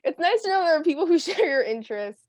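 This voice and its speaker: A woman's voice: very high voice, big pitch swings, fast, a little mellow, no echo. Teenage girl, casual chat, lots of fillers, dry recording. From United States. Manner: chatty, many fillers and laughs. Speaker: guest.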